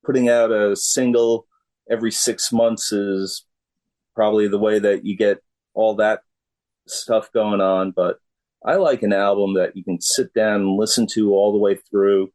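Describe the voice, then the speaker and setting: A middle-aged man with a lowish voice chats as an interview guest. He takes his time, speaking very slowly in a laid-back, halting and plain-spoken way. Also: level tone, darkish tone. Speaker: musician guest. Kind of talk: casual interview chat.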